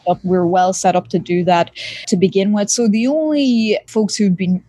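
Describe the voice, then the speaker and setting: Irish woman surgeon, relaxed and dry. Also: medium pitch, normal speed, no echo. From Ireland. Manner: pragmatic, relaxed, talks with frequent fillers. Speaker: surgeon guest. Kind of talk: podcast interview.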